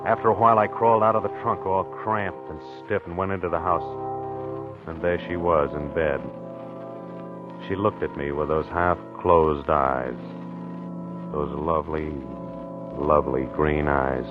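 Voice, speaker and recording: An actor playing a haunted, obsessive husband in a halting, confessional 1940s radio drama style. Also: male voice, a bass voice, fairly even intonation, music in the background. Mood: brooding, haunted. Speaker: actor narrating in character. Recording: muffled old 1940s radio recording.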